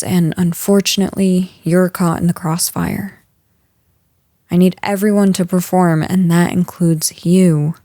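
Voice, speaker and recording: A woman playing a stern, frustrated office boss in a scripted audio roleplay, speaking to an employee in a firm, measured, exasperated way. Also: medium pitch, mellow, dry recording, clean studio sound, natural voice. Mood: exasperated, probing. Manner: firm, exasperated, measured managerial delivery with sighs.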